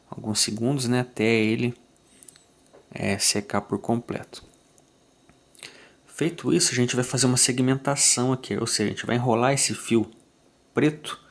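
A Brazilian man calmly walking through a fly tying tutorial, checking in with listeners after each step. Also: medium pitch, creaky. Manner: calm, methodical, ends phrases with "tá?".

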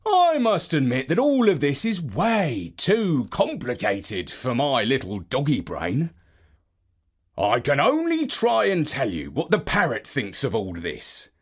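A storyteller voicing a modest, friendly male dog who closes the story with a lively, up-and-down delivery. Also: medium pitch, measured pace, slightly rough, heavily acted.

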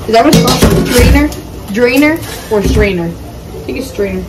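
A young woman with a fairly high voice talks viewers through a recipe in a home kitchen. She sits back from the camera, so she sounds distant. Pots and dishes clatter loudly around her. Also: lively intonation, normal speed, heavy background noise. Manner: chatty, explains steps to viewers. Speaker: cooking vlog co-host. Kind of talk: casual cooking chat. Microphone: camera on the counter at a distance.

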